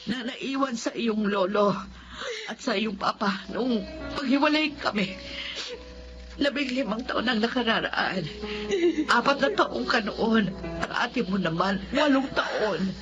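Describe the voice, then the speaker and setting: Actress as high-voiced, emotional Filipino mother. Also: a little slow, natural voice. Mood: tearful. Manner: emotional, melodramatic delivery. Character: loving, long-suffering mother reunited with lost daughter. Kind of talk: Filipino radio drama acting. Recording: dull lo-fi audio, loud music behind.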